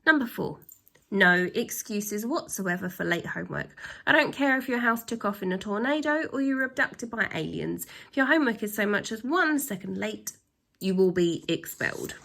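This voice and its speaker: Female reader as male school tyrant for a storybook: pompous, stern, creaky, hammering each rule with drawn-out emphasis. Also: mid-range voice, expressive, fairly bright tone. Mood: stern, imperious. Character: pompous tyrannical male headmaster reading out his rules. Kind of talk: children's storybook read-aloud.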